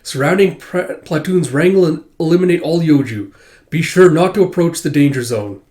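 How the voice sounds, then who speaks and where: A man's voice: on the high side, normal speed, a little mellow, voice pushed up, close to an everyday voice. A man reading as a determined young female officer. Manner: tense, commanding. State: stuffy from a cold.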